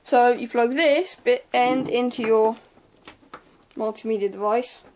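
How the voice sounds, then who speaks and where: A woman's voice: fairly high pitch, measured pace, a little mellow, a little hiss or hum. A young woman gives an informal, unscripted hands-on tutorial in a hesitant, offhand way, trailing off into asides. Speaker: sound tech tutorial presenter.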